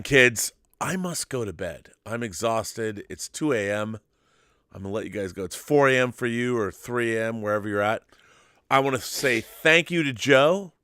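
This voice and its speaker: A man's voice: mid-range voice, fairly bright tone, dry recording, studio quality. A man hosting a casual late-night live stream, talking informally in a persuasive, joking way.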